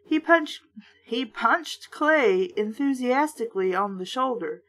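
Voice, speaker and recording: A woman with a fairly high voice hosts a read-aloud of a children's book. Her narration is casual and conversational, with a sardonic, blunt edge to her commentary. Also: animated, slow pace, a bit bright. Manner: sardonic, blunt, swearing asides. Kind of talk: storybook narration with casual asides.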